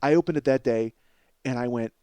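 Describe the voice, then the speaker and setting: A middle-aged man hosting a comedy podcast with a rambling, excitable monologue, self-mocking and profane, often stammering over repeated words. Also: medium pitch, brisk, smooth, dry recording.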